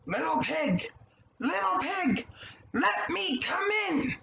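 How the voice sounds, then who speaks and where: A woman's voice: extremely high pitch, sing-song, vocal fry, fairly bright tone, reverberant, highs cut off, a little hiss or hum, pitched above the performer's own voice, heavily acted. A woman voicing a hungry, sly male wolf in a children's story, with theatrical, blustering and coaxing delivery. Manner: drawn-out, theatrical huffing threats and coaxing calls.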